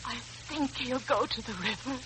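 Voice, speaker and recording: An actress playing a young music-hall performer in a melodramatic 1940s radio thriller style. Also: female voice, on the high side, normal speed, background noise, close to an everyday voice. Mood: somber.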